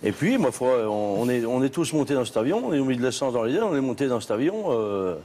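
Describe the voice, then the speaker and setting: A man from Valais with a Swiss French accent, telling his story haltingly as a guest on a TV talk show. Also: mid-range voice, sing-song, mellow. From Switzerland, Valais. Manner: halting, restarts with many fillers. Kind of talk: studio TV talk show interview. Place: TV studio set.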